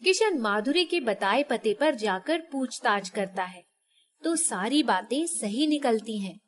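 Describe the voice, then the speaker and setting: A woman storyteller with a very high voice. She narrates a scripted tale in clear sequence, as a storyteller would. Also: lively intonation, a little slow.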